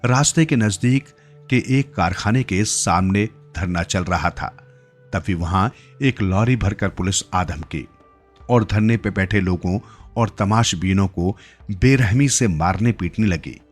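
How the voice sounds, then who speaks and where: Low-pitched male storyteller over music. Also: a little slow. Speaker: story narrator. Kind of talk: scripted literary story narration in Hindi.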